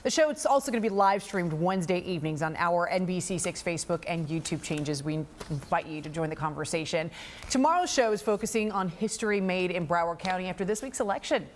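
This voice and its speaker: A woman's voice: fairly low pitch, lively intonation, fast talker, slight background noise. A woman with an American accent anchoring TV news in an upbeat promotional broadcast style.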